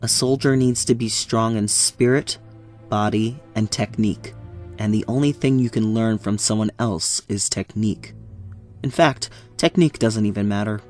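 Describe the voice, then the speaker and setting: A man playing a stern, cryptic veteran soldier and mentor, lecturing in a measured, solemn way for a parody. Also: fairly low pitch, a little mellow, soft background music, natural voice. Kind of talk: parody dub acting.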